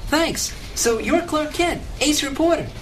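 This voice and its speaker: Woman doing an eager, high-pitched young boy. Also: lively intonation, unhurried, soft background music. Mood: chirpy. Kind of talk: old-fashioned audio comic acting. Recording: noisy ambience behind voice.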